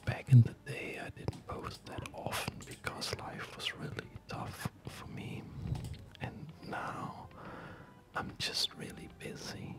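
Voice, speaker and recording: A man in his thirties whispers softly and breathily in an ASMR gaming commentary, speaking gently with hesitant pauses. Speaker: ASMR video creator. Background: music.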